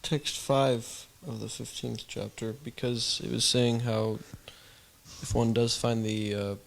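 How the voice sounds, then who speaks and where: A man in a class sharing a thought slowly and hesitantly. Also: mid-range voice, flat delivery, gravelly, a little hiss or hum. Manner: slow, hesitant. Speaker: class participant.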